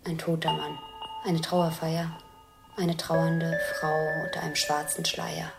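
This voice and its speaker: Woman describing film shots in radio play, lowish voice, short halting bits, music behind. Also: little pitch movement, creaky, heavily acted. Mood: hushed, sombre. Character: woman describing a film, shot by shot, intent observer. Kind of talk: fragmentary descriptive radio drama narration.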